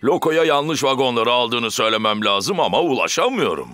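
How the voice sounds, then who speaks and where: Flustered elderly cartoon stationmaster, swooping pitch. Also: male voice, big pitch swings, moderate pace, fairly bright tone, dry recording. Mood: anxious, flustered. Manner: fretful, hesitant, exclaiming. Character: elderly, flustered, kindly male railway stationmaster. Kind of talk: children's cartoon dub.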